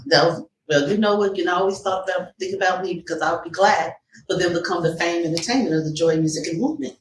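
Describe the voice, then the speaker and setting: A woman's voice: lowish voice, quick pace. Woman, interview co-host and vocal teacher. Conversational, affirming delivery, roomy sound with faint noise. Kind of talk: casual interview chat. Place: small studio room, live recorded show. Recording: echoey room, distant mic, faint noise.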